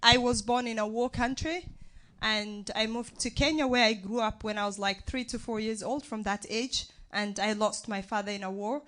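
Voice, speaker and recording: A woman's voice: a little high, steady tone, moderate pace. A young woman from Somalia with a Somali accent, speaking as a guest activist on a live stage show and joking with the audience. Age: young adult. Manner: warm, joking rapport with the audience. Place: live art venue with audience.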